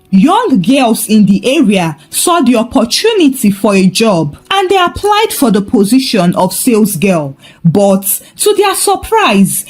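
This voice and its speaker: Lady narrating a folktale, slow pace, higher voice, really lively pitch. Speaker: storyteller.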